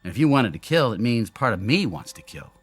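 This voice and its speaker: A man's voice: mid-range voice, very animated, fairly quick, breathy edge, no echo, faint music behind. An actor in a radio drama playing a brilliant, lonely male inventor who explains himself in theatrical, brooding monologues. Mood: grave, frank. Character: brilliant, lonely, self-doubting male inventor of an android double.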